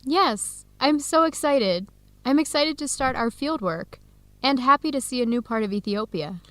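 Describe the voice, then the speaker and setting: Casting: eager young woman student, higher voice, clear simple delivery for learners, close dry radio-drama sound. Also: expressive, moderate pace, no echo. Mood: eager, curious. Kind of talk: scripted educational radio drama dialogue.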